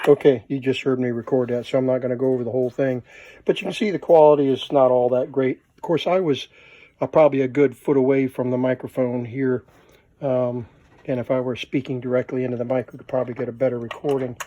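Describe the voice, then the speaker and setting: A man's voice: medium pitch, level tone, fast, warm tone, muffled recording. Casual man demonstrating, thinking aloud. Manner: unscripted, thinking aloud while working. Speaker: vintage tape recorder hobbyist, presenter.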